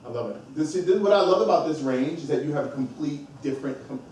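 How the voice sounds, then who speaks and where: A man's voice: on the high side, lively intonation, quick pace, darkish tone. A man moderating a panel discussion, emphatic and encouraging as he draws out each speaker. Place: event hall with audience. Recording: echoey hall from far off, noisy.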